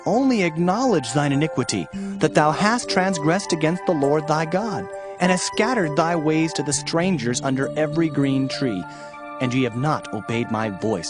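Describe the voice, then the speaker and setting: A male actor playing a stern, impassioned prophet, declaiming in a dramatized scripture reading. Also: fairly high pitch. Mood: urgent appeal. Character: Jeremiah, impassioned male prophet voicing divine reproach.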